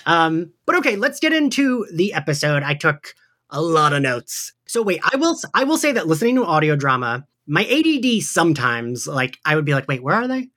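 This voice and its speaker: A man's voice: on the high side, sing-song, brisk, sharp tone. A man in his forties hosting a podcast, talking casually and chattily in a fan discussion, giggling often. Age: 40s. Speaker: podcast host.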